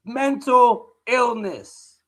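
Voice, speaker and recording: A man's voice: extremely high pitch, extremely slow, fairly bright tone. A man hosting a livestream, giving mocking live reaction commentary with a sore throat. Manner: mocking, laughing ranting. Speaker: livestream host.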